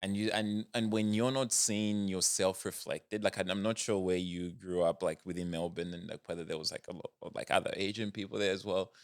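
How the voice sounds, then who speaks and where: A man's voice: deep voice, steady tone, brisk, few pauses, dry recording, studio quality. A young man from Zambia, a startup founder, sharing reflective stories as a podcast guest. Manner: reflective, storytelling, frequent restarts. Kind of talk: casual podcast conversation.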